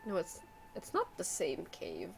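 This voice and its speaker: A woman's voice: lowish voice, very animated, a little slow, creaky, faint music behind, poor audio. A woman streaming a game with casual, joking commentary and wry, slangy asides.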